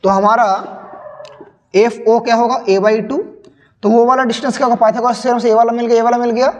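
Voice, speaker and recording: Hoarse young teacher, high voice, reverb. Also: male voice, little pitch movement. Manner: slow, repeats questions to students. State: sore throat, hoarse. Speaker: physics teacher. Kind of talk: solving a sample paper on screen. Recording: long reverb.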